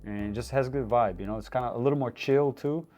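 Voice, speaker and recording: A man from Brazil, interviewed in a co-working space, talking in a relaxed, hesitant way. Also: mid-range voice, fast talker, faint music behind. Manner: hesitant, relaxed, with fillers and restarts. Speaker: interview guest. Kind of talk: casual interview.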